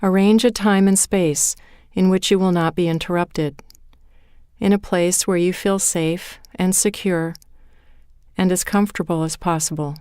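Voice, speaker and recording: A woman leading a guided meditation in a calm, measured way, with deliberate pauses between phrases. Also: on the low side, clean tone, no echo, clean studio sound. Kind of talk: guided meditation, scripted narration.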